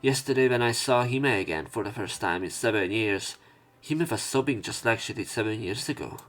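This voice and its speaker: A man narrating a visual novel let's play, reading the text aloud in a measured way with some stumbles. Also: lowish voice, expressive, a bit bright, faint music behind. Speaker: let's player.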